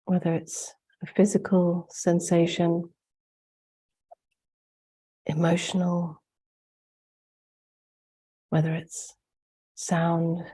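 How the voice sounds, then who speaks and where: A soft-spoken woman leads a guided meditation over a video call in a low, mellow voice. Her nose sounds blocked up as she gets over the flu. She speaks very slowly with hardly any rise or fall. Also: flat delivery, husky. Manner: slow, soft-spoken, gently guiding. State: congested, getting over the flu. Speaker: spiritual teacher hosting a webinar.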